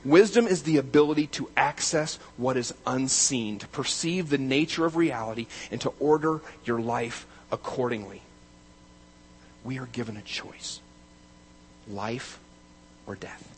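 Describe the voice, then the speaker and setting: Male pastor at mid pitch, preaching emphatically, faint hum behind. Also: a little slow, low-quality recording. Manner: emphatic, rising to loud declarations then dropping quiet. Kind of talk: sermon.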